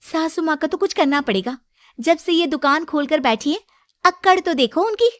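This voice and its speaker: A woman performs a resentful young daughter-in-law from a moral story cartoon. She schemes and protests in a high, indignant, exaggerated voice, recorded dry and close. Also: animated, moderate pace, no room sound. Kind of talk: Hindi moral story character acting.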